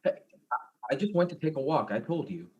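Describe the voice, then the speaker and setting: A man's voice: fairly high pitch, sing-song, brisk, warm tone, dull audio. A man acting an insecure, jealous and goofy fiancé in a darkly comic mystery play, earnest and flustered. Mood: wounded, suspicious.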